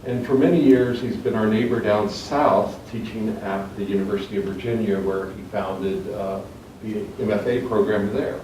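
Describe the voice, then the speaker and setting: Echoey, low-voiced man giving a formal introduction. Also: steady tone, a little mellow. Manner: measured, formal, literary. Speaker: university teacher introducing a poet. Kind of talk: prepared formal introduction speech. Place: indoor university reading event. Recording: echoey room, distant mic, faint noise.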